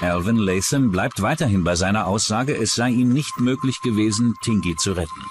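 A man's voice: lowish voice, moderate pace, very noisy. A man reading scripted true-crime documentary narration.

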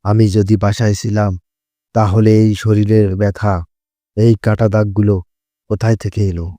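A man's voice: fairly low pitch, flat delivery, moderate pace, mellow, no room sound. A man narrating a scripted horror story in the first person.

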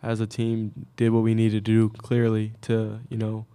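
A man's voice: on the low side, completely flat delivery, moderate pace, warm tone, clear, resonant tone. A man in his early twenties, a college athlete, answering press questions in a hesitant, subdued way. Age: early 20s. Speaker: college basketball player. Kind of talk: postgame press conference answers. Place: indoor press conference room. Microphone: table microphone.

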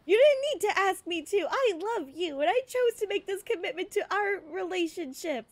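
A woman puts on a spurned secret lover, indignant and pleading. The voice is high and swings dramatically, in soap-opera style over a video game. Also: animated, moderate pace, no room sound.

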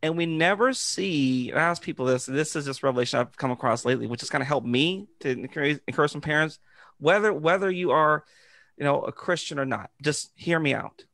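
A man's voice: fairly high pitch, gravelly, no echo. A middle-aged man, an educator co-hosting a podcast, telling an encouraging story in an earnest, conversational way from a small studio mic. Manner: earnest, encouraging, animated hand gestures. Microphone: studio mic on boom arm with headphones.

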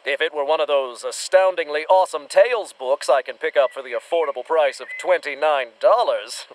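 An actor with an American accent playing a dim, swaggering, bombastic monster hunter in a retro radio serial. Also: male voice, a little high, brisk, slight background noise, natural voice, rough recording. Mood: self-important. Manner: bombastic, boastful, theatrical.

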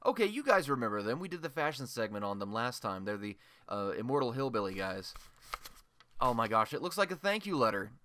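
A man's voice: medium pitch, big pitch swings, fairly quick, fairly bright tone. A man playing a chatty, wry radio host in a superhero city, telling scripted comic stories in a colloquial, theatrical way for a radio play. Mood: casual, chatty.

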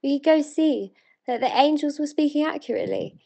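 A British woman playing an eager, wonder-struck townswoman in a dramatic verse reading, earnest and excited. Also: sits high, brisk, creaky. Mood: pleading.

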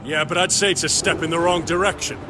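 An actor playing a dry, defiant, wisecracking space marine in a video game. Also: male voice, a little high, fairly even intonation, quick pace, echoey room, noisy background, natural voice. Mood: sarcastic. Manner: dry, sarcastic. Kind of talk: video game hero acting.

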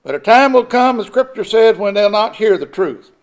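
Older man, high-pitched preacher, builds emphasis, chuckles now and then, pulpit mic. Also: animated, normal speed. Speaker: pastor. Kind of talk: sermon. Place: church sanctuary at a pulpit. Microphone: pulpit microphone.

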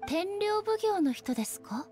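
A high-pitched, composed goddess-shogun from an anime game. She speaks in formal, measured phrases with solemn, reflective dignity. Soft music plays underneath. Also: expressive. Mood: composed inquiry. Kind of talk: Japanese anime game voice acting.

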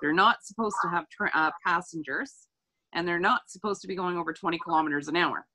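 Firm, bright-voiced woman councillor. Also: lowish voice, fairly quick. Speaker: town councillor. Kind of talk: informal meeting argument. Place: remote video call from a room. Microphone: video call.

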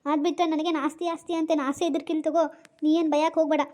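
A woman's voice: sits high, little pitch movement, warm tone, close to an everyday voice. A middle-aged woman with a North Karnataka Kannada accent, playing an anxious mother who coaxes her daughter and defends herself in a family drama skit. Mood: defensive. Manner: coaxing, defensive, rapid scolding.

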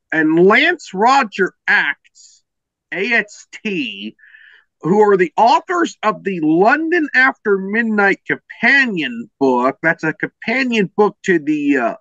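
A man's voice: high voice, pushed and bright, dry recording. A man hosting an interview podcast, introducing guests and putting questions to them. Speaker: podcast host. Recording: dull, lo-fi audio.

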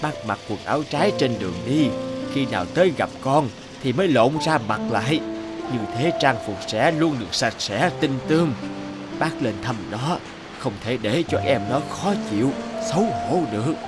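A man playing a kind, devoted elderly countryman father in a cartoon, speaking gently and earnestly. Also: medium pitch, lively intonation, moderate pace, natural voice. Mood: humble, calm. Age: older. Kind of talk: animated cartoon dialogue. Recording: music behind the voice.

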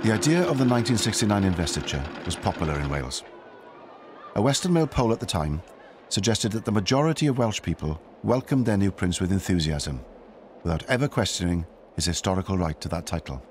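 Very deep male documentary narrator. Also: a little hiss or hum. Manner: calm, measured delivery. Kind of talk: scripted narration.

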